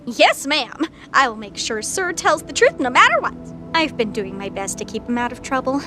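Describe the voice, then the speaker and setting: A woman's voice: high voice, sing-song, normal speed, sharp tone, soft background music, heavily acted. A woman voicing a large, eager, polite and gushing goddess sidekick in an audio drama. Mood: earnest, eager. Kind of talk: audio drama character acting.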